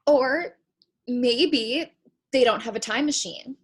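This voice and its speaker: A woman playing a determined, hopeful young aspiring writer, speaking deliberately in a comedic table read. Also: fairly high pitch, slightly rough. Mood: pondering. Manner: deliberate, resolute.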